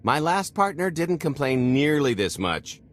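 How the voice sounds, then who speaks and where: A man's voice: a little high, sing-song, unhurried. A male actor playing a roguish, wisecracking smuggler in a video game, with a wry and cocky delivery. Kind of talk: video game cutscene acting.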